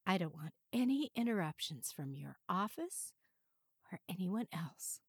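A woman's voice: lowish voice, very animated, a little slow, breathy edge, no echo, studio quality, exaggerated voice. Woman voicing a flirty, teasing mistress. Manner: playful, teasing.

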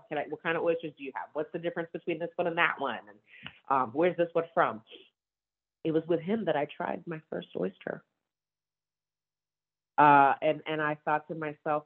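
A woman's voice: deep voice, normal speed. A woman talks casually and with enthusiasm, laughing now and then. Her voice comes through thin and tinny, like it's heard over a phone. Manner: enthusiastic, frequent laughs and asides. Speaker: wine bar owner. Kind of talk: casual conversation.

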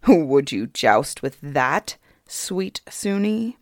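A woman voicing a young male fairy king who is cold, mocking and menacing, speaking slowly and softly. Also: sits low, sing-song, no room sound, clean studio sound, exaggerated voice. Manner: slow, soft, taunting.

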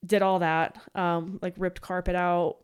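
A woman in her late twenties, a podcast guest, chatting in an animated way with quoted asides. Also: lowish voice, unhurried, clean tone. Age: late 20s. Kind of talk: casual chat.

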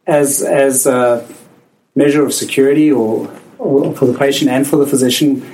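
A South African man in his forties, a doctor telling personal stories, halting and restarting as he goes. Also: mid-range voice, steady tone, warm tone, poor audio. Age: 40s. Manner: halting, with frequent restarts and laughter. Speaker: physician. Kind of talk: personal storytelling.

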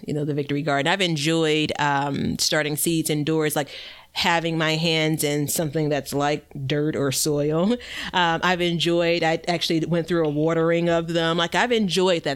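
A woman co-hosting a gardening show in casual, unscripted conversation, talking in a playful, animated, chatty way. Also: low-pitched. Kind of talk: casual unscripted co-hosted chat.

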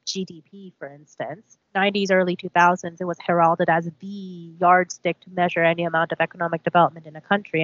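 A woman's voice: fairly low pitch, no room sound. A woman whose first language is Korean, co-hosting a casual podcast discussion and talking in a thoughtful, hedging way. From South Korea. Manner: thoughtful, hedging, lots of "I think" and "like". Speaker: podcast co-host. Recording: dull lo-fi sound.

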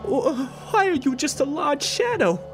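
Audio horror drama: male actor as frantic cornered tough guy, high, swooping, stammering delivery. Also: big pitch swings, unhurried, gravelly, over music, heavily acted. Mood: stunned dread. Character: panicked, belligerent man cornered by an eerie creature.

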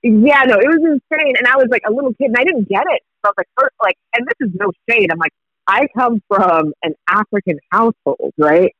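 A man's voice: sits high, expressive, a little fast, no echo, highs cut off. A Kenyan-born man whose first language is Swahili, telling a story in casual chat with laughter.

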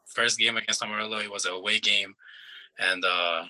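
Young man from Iran, low voice with a very bright edge, plain-spoken soccer player, halting answers. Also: steady tone, poor audio. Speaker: soccer player being interviewed. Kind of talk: casual interview answers.

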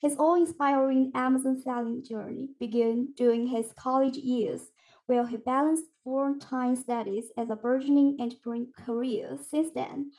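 Higher-pitched, mellow woman hosting a podcast. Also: fairly even intonation, moderate pace, poor audio. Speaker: podcast host. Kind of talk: scripted interview hosting.

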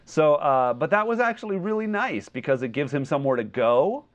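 A man co-hosting a podcast in a casual film discussion, talking in a halting, wordy way as he thinks aloud. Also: fairly high pitch, very animated, muffled recording. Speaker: podcast co-host.

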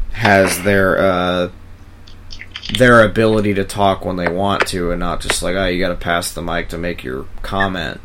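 Man, low pitch, heavy vocal fry, informal chat, hesitant, rambling. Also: fairly bright tone, slight background noise. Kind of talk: casual rambling chat with frequent profanity.

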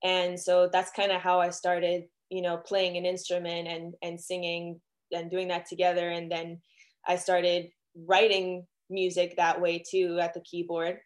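A woman's voice: on the low side, monotone, a little slow. A young woman from Miami, a singer-songwriter, chatting casually as a guest with lots of "um" and "you know". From Miami, Florida. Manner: chatty, many fillers and restarts. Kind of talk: casual interview answers.

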